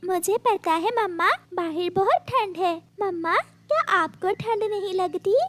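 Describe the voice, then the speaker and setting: Little sparrow girl for kids' cartoon, played by a woman: squeaky-high, childlike, caring, eager to ask. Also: unhurried, a bit bright, dry recording. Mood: concerned. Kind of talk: Hindi children's cartoon voice acting.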